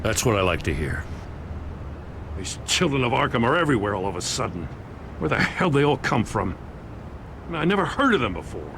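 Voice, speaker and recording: A man's voice: mid-range voice, sing-song, a little fast, gravelly, pauses often. An actor voicing a weary, earnest middle-aged male police commissioner in a video game. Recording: game audio with background noise.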